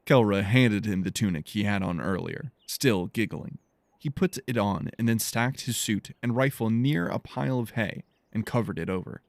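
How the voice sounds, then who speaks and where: Male narrator, low pitch, gravelly fry, measured storytelling, scripted audiobook fiction, dry recording. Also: no room sound.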